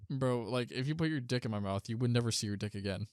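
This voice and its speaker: A man's voice: mid-range voice, fast, darkish tone, smooth, dry recording. A man co-hosting a podcast in casual chat, trading crude jokes, with a dry throat. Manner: crude joking banter. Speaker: podcast co-host.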